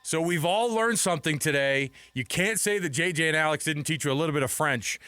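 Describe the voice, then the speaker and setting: A man's voice: a little high, brisk, sharp tone, clean tone, dry recording. A man hosting sports radio in a casual, playful, chatty way. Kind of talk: casual sports talk banter.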